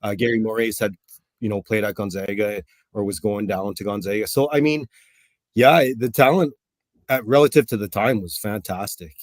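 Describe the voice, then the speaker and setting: A man in his forties, a coach as interview guest, talking in an upbeat, relaxed way with fillers. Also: on the low side, normal speed, dry recording. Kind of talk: casual interview.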